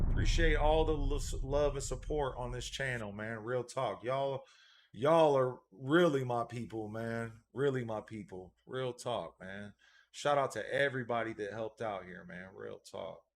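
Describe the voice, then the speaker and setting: A man's voice: medium pitch, a little slow, slight background noise. A man hosting a casual livestream as a dog breeder, laid-back, reading out and thanking viewer donations, often repeating a catchphrase.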